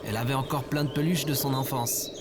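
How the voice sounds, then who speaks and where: A man's voice: mid-range voice, steady tone, fast talker, heavy background noise, lightly acted. A man playing a lazy, petty, self-proclaimed ruler of Earth in a sci-fi comedy audio drama, pompous, whiny and bossy. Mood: grumbling. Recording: music under the voice.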